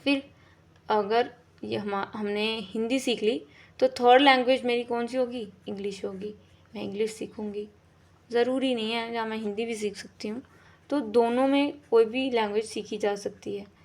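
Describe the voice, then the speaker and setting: A woman's voice: fairly high pitch, steady tone, moderate pace, darkish tone. A woman teaching an exam-prep lesson in a casual explaining way, often checking in and rephrasing her points. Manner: repeats "ठीक है" and rephrases often, with fillers.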